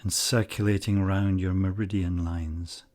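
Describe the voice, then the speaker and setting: A man leading a guided meditation in calm, measured short phrases with long pauses. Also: deep voice.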